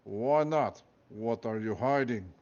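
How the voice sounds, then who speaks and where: A man's voice: medium pitch, slow pace, a little mellow, highs cut off. A man voicing a pompous male Russian prison guard with a heavy put-on Russian accent and broken English. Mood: indignant. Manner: broken English, boastful, theatrical.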